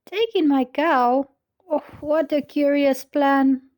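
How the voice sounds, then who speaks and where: Storybook little old lady, played by a woman: high, plaintive, slow and exclaiming, forever grumbling. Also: fairly even intonation, a little mellow. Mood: baffled. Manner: plaintive, exclaiming, drawn-out pleading.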